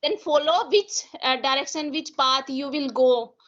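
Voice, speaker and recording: A woman with an Indian English accent, a Hindi speaker practising English, asking questions with hesitant pauses and many fillers. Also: high-pitched, a bit bright. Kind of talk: conversation practice chat. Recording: dull lo-fi sound.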